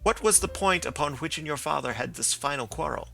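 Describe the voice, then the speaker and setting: A stern coroner presses his questions in a formal manner, as a male narrator reads him. The gravelly, crackling voice carries a forceful brightness. It belongs to an audiobook of a classic story. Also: fairly high pitch, expressive, quick pace, faint music behind, exaggerated voice.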